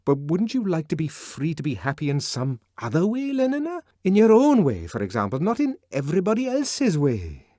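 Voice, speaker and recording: A man reading an audiobook and voicing a brooding, discontented young man who talks earnestly and at times shouts. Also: a little high, wildly swooping pitch, normal speed, few pauses, no echo, studio quality, voice pushed up. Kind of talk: audiobook character voice.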